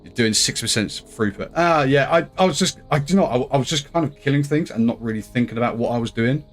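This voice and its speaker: A man's voice: medium pitch, fast. Chatty laughing male streamer. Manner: chatty, laughs easily, scattered. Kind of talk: casual chat on a live stream.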